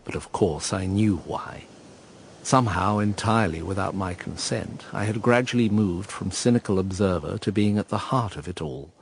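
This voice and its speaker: An actor playing a weary, cynical invalid gentleman who narrates his own story in a radio drama, dry and understated. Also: low-pitched, moderate pace, a little hiss or hum. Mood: reflective.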